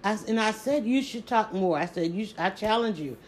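A woman with a Southern accent chatting casually on a live stream, talking quickly and restarting her sentences often. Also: mid-range voice. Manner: rapid, stumbling restarts, animated emphasis. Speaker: social media creator.

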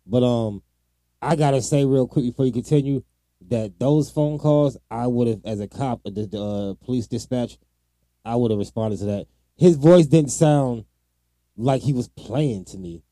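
An American man reacts and comments as a podcast co-host. He has a very dark, relaxed tone and talks in a laid-back, slangy way. The recording is dry, with little room sound. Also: medium pitch, unhurried, no room sound. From Minnesota, United States. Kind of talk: casual conversational commentary.

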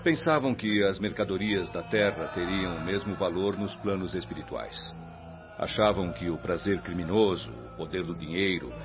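A man acts out a solemn Brazilian spirit instructor in a radio drama. His voice sits very deep, and he explains with grave, measured authority. The sound is slightly dull and lo-fi. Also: natural voice. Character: calm, stern male spirit instructor, mature and didactic.

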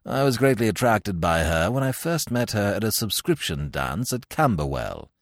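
A man voicing an unruffled, deferential English valet in an audiobook, formal, measured and deadpan. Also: fairly low pitch, no room sound, studio quality. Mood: composed, candid. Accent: British.

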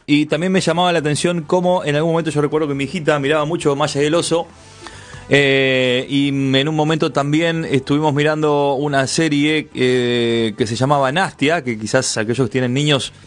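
A man's voice: fairly high pitch, steady tone, moderate pace. Rambling Uruguayan man on radio. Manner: chatty, rambling, circles back on phrases. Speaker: regular radio segment presenter. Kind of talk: casual radio chat. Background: music in the background.

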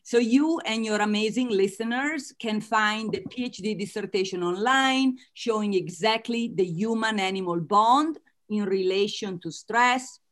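A woman's voice: medium pitch, unhurried, a bit bright. Woman, Italian accent, earnest interview guest, light laughs between points. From Italy. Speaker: guest author. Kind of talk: interview answers.

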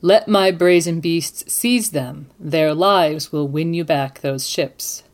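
A woman with a fairly low voice co-hosts a podcast. Her delivery is composed and deliberate, with the measured feel of someone presenting or reading prepared material. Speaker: podcast co-host.

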